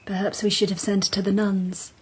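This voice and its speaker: An actress playing a highborn, sceptical royal lady in a radio drama, formal and pointed. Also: little pitch movement, moderate pace, darkish tone, husky. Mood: sceptical, disapproving.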